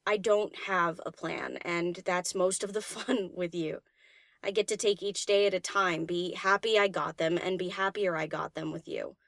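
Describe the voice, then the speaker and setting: A woman's voice: on the low side, fairly even intonation, moderate pace, no echo, natural voice. Woman playing an easygoing, teasing pony, dry close sound. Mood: tender, sincere. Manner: casual, slangy, teasing. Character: easygoing teasing female DJ pony, casual and playful. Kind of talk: fan audiobook character acting.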